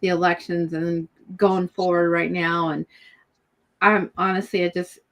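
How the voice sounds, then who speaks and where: A woman talking in an animated, rambling way in a casual podcast chat. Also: fairly low pitch, a little slow.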